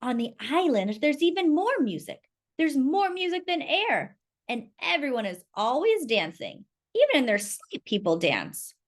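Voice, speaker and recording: A woman's voice: high voice, sing-song, a little slow, pitched above the performer's own voice. A woman voicing a woman food seller in a storybook, fondly reminiscing. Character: warm woman empanada seller.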